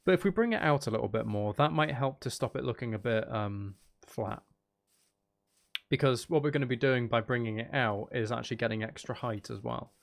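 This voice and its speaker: A man with a middling pitch and a noticeably creaky, fry-edged voice. He talks casually as a live game streamer, tossing playful, teasing banter to his chat. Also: brisk. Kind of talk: casual gaming livestream chat.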